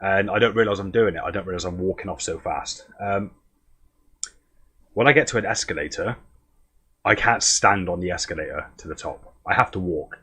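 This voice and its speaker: A man with a husky voice, chatting casually and self-deprecatingly as he hosts a podcast interview. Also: deep voice, moderate pace, sharp tone. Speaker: podcast host.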